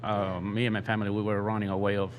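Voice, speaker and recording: A man from Venezuela with a Venezuelan Spanish accent, a native Spanish speaker and metal musician guest, speaking haltingly as he searches for words. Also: fairly low pitch, little pitch movement, slight background noise.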